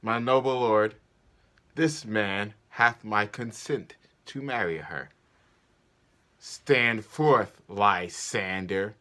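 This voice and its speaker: A man's voice: fairly low pitch, extremely slow, pushed and bright, heavily acted. A man playing a stern, vexed older father in a declamatory Shakespearean stage reading. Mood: indignant. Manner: declamatory, indignant, emphatic. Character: stern, vexed old father demanding his ancient rights.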